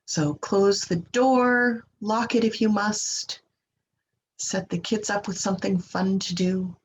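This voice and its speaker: A woman leading a guided meditation in a gentle, unhurried manner, leaving long pauses and trailing off breathily at the ends of phrases. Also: mid-range voice, steady tone. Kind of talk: guided meditation, slow soothing instruction. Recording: dull lo-fi recording with clear background noise.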